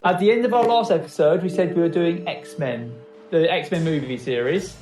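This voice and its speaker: High-voiced man rambling on a podcast. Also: darkish tone. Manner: rambling, halting, filler-heavy. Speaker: podcast co-host. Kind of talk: casual podcast chat. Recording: roomy, distant mic, music bed.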